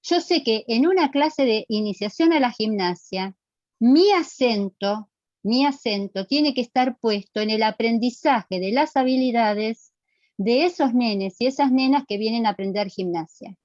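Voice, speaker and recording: A woman's voice: on the high side, a little slow, darkish tone, dry recording, dull audio. A middle-aged woman with a Rioplatense Argentine accent lecturing to students in a didactic, emphatic way, checking they follow with short questions. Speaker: physical education lecturer.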